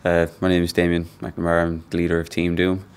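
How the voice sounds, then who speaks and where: A man, a team leader, giving brisk, matter-of-fact interview answers. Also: sits low, monotone, smooth.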